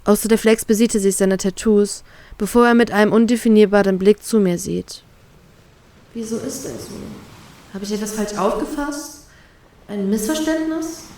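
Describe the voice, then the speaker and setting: A woman's voice: mid-range voice, normal speed, dark tone. A woman reading a first-person fan fiction story aloud, voicing a heartbroken inner monologue.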